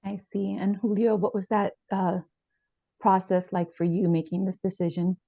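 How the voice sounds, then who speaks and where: An actress playing a therapist, asking measured, gentle questions. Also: female voice, mid-range voice, little pitch movement, creaky, dry recording, muffled recording. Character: calm, probing female therapist. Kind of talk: improvised dramatized therapy session.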